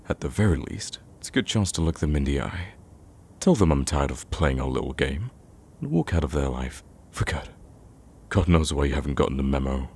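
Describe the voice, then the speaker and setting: Man acting a very deep-voiced wounded friend. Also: expressive, darkish tone, a little hiss or hum. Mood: bitter, resentful. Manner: halting, stammering, sighing. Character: bitter, betrayed young male friend turned worried and protective. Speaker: voice actor in audio roleplay. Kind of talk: emotional ASMR roleplay acting.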